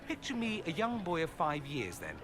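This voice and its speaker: An actor playing a witty, vain aristocratic mage in a fantasy game, with a wry, sardonic flourish. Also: male voice, on the high side, wildly swooping pitch, moderate pace, noisy background. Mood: amused, wry. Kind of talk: fantasy video game dialogue acting.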